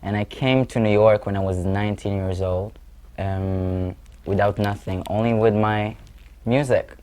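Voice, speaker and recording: Guest, young man, twenties, Israeli accent, lowish and earnest. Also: fairly even intonation, unhurried, a little mellow, clear, resonant tone. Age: 20s. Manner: earnest, searching for words. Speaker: guest musician. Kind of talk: interview answers.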